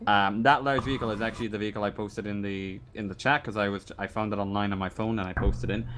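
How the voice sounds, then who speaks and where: A man running a tabletop roleplaying game as game master, improvising narration in a halting way, searching for words. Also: on the low side, fairly even intonation, fairly bright tone, a little hiss or hum.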